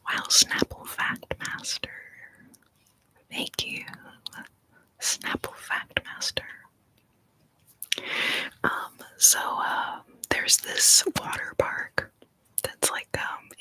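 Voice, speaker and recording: A young adult from Texas whispering playful tongue twisters and small talk in an ASMR video, each word shaped crisply. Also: very slow, pauses often, sharp tone, poor audio. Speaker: ASMR creator.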